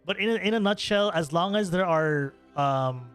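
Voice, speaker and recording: A man's voice: high-pitched, lively intonation, normal speed, fairly bright tone, clear, resonant tone. A young man from the Philippines, streaming a game and chatting casually with viewers, rambling with many fillers and restarts. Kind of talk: casual gameplay stream chat. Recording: faint music behind voice.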